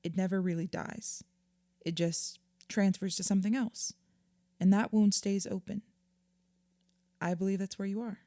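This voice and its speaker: A woman acting a patient, earnest therapist in an audio drama, measured and reassuring as she explains. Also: mid-range voice, fairly even intonation, dark tone, dry recording, natural voice. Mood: earnest, explanatory. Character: patient, earnest female therapist counselling a client.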